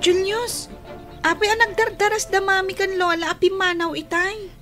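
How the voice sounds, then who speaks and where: A woman's voice: extremely high pitch, steady tone, normal speed, music in the background. A woman voicing a selfless young girl, a loving sister in an Ilocano radio drama, childlike and earnest. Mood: curious, hopeful.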